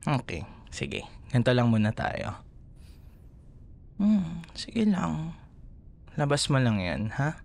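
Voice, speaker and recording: A man with a Filipino accent playing a gentle, doting young boyfriend who soothes his partner in intimate ASMR roleplay. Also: mid-range voice, sing-song, slow, creaky, pauses often, heavily acted. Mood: soothing, tender. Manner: soothing, affectionate, soft murmurs.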